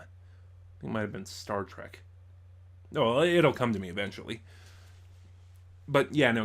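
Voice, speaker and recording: A man's voice: mid-range voice, a bit bright. A man reviewing in an informal commentary style, thinking aloud and correcting himself as he goes. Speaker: audio drama reviewer.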